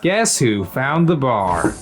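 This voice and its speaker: A man's voice: medium pitch, very animated, takes it slowly, noisy background. A man playing a wry, laid-back soldier in a sci-fi audio drama, talking casually. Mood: relaxed, amused.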